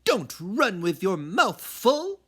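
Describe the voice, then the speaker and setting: A man's voice: high-pitched, very animated, slow pace, a bit bright, breathy edge, pitched above the performer's own voice, full character voice. A man voicing a bossy, no-nonsense mother from a storybook, hollering and scolding.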